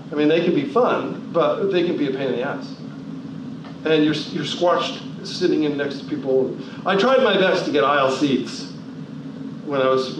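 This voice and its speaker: A man in his forties from New Jersey, giving a motivational talk with emphatic rhetorical questions and shifting pace. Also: medium pitch. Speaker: motivational speaker. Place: large hall. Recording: echoey, distant, dull hall recording with noise.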